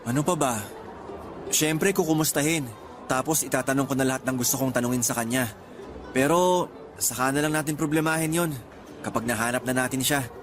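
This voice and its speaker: An actor playing an excited young Filipino traveller in a radio drama, speaking in an earnest, conversational Filipino accent. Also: male voice, medium pitch, a little fast, long pauses, faint music behind, natural voice. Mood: wistful, unsure. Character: excited young Filipino man on first trip abroad.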